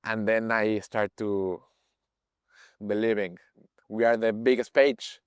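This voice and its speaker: Young Mexican man, smooth and low. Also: fairly even intonation, dry recording. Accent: Mexican Spanish. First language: Spanish. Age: 20s. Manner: halting, searches for words in English. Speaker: guest, page owner. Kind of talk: casual interview chat.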